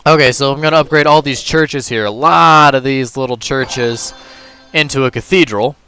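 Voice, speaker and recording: A man with a hoarse, tired voice, casually talking through a puzzle game move by move as a gaming channel host. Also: medium pitch, moderate pace, no room sound. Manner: relaxed, talks through moves step by step. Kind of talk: casual gameplay commentary.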